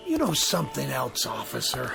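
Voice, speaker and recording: An actor playing a courtly, friendly, tipsy gentleman in a radio drama, with music behind him. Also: mid-range voice, huge pitch swings, normal speed, creaky, heavily acted.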